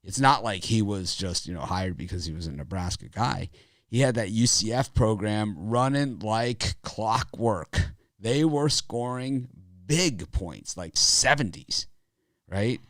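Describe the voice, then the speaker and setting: A man with a raspy voice hosting a sports betting show, talking casually in a rambling, opinionated way with lots of fillers. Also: on the low side, expressive, unhurried, a bit bright, no echo, studio quality.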